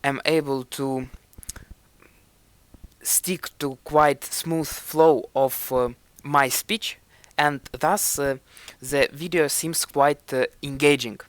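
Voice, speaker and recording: Young Ukrainian-accented man, reflective vlogger voice; unhurried and smooth, recorded close and dry. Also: on the high side, fairly even intonation, no room sound. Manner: thoughtful, searching for words. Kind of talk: reflective commentary on his old video.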